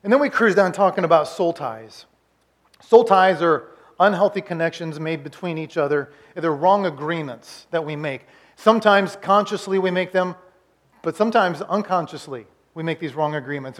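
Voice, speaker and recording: A pastor in his forties with a notably high voice delivers a relaxed, conversational sermon. He often stumbles and repeats words as he recaps earlier teaching. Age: 40s.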